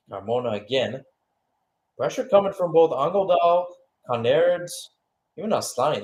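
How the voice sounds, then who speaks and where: A man's voice: a little high, darkish tone. Male football play-by-play commentator, conversational. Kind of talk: live radio sports commentary.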